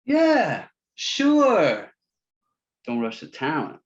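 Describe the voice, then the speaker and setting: A man's voice: on the high side, huge pitch swings, very slow, full character voice. Man playing dull-sounding, wildly swinging man-child director. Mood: overeager, agreeable. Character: man-child film director in his late forties, eager, well-meaning.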